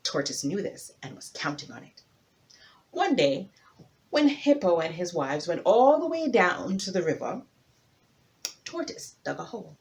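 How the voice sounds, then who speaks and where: A woman narrating a folktale as a storyteller, with theatrical emphasis and dramatic pauses. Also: fairly low pitch, sing-song, darkish tone.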